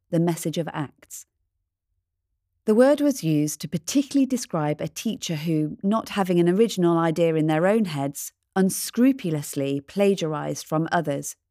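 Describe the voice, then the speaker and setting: A woman reading a scripted devotional in a measured, reflective manner. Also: deep voice, dark tone, no echo, clean studio sound. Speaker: devotional reader.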